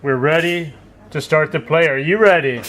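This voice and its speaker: A man narrating a children's puppet show as a storyteller, with simple, deliberate phrasing. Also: on the high side, a crowd in the background.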